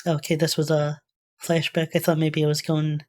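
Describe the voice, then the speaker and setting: Female reaction host, slightly low voice, informal running commentary, thinking out loud in a tentative way. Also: completely flat delivery, normal speed. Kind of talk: casual off-the-cuff commentary.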